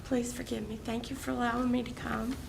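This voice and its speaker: A hoarse older woman speaks earnestly, laying out her hardships to a review board. Her voice is heavily gravelly and sits a little high. Noticeable noise runs under the recording of the meeting room. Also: little pitch movement, a little fast. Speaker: property owner appealing. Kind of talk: public hearing testimony.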